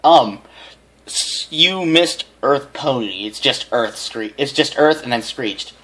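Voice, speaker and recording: A man reads a story aloud at a middling pitch. He is an amateur reader who trips over phrases, backs up and says them again as he goes. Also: lively intonation, slow, fairly bright tone, rough recording. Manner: stumbling, often repeating phrases. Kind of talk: reading a story aloud with character voices.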